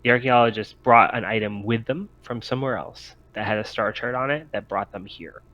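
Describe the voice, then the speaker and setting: A man with a lowish voice narrates a tabletop game. He explains the setting and puts choices to the players in questions. The sound is slightly dull. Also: brisk, fairly bright tone, no echo. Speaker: game master.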